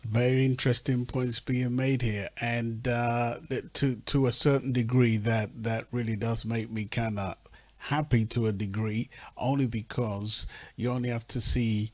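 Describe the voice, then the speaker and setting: A man's voice: medium pitch, fairly even intonation, no room sound. Earnest male radio host, dry close sound. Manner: earnest, deliberate, repeating phrases for emphasis. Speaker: radio talk show host. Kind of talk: measured conversational radio discussion.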